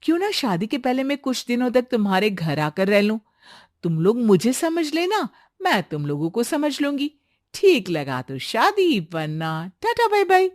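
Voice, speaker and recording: A woman voicing an outspoken young bride-to-be in an expressive, emphatic cartoon story style. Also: a little high, a little slow, no echo, clean studio sound. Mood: eager, confident. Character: Neha, outspoken young bride-to-be, chirpy, sometimes exasperated. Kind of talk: animated Hindi story voice acting.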